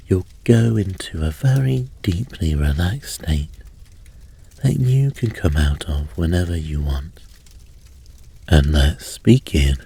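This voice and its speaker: Male sleep hypnosis guide, unhurried and gentle, soft breathy husk, measured pauses. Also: low voice, dry recording. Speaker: hypnosis and meditation host. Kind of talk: guided sleep hypnosis, slow soothing narration.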